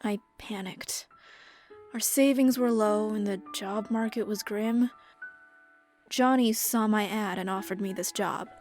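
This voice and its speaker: A woman playing a gentle, resigned widowed mother and caregiver in a game dub, speaking in a subdued, reflective way. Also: mid-range voice, a little slow, soft background music, natural voice. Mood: wistful.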